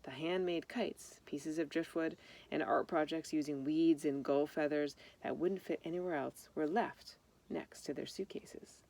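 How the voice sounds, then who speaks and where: A woman's voice: low voice, fairly even intonation, a little slow, a little mellow. A woman reading a children's picture book aloud, explaining things simply and speaking directly to young listeners. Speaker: storybook reader.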